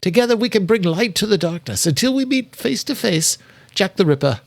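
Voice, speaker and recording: Audiobook villain for a male narrator: menacing serial killer, theatrical, pitched well up, very dry sound. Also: very animated, a little fast, creaky, dry recording, heavily acted. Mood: sinister glee. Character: Jack the Ripper, sinister killer, in a read-out letter.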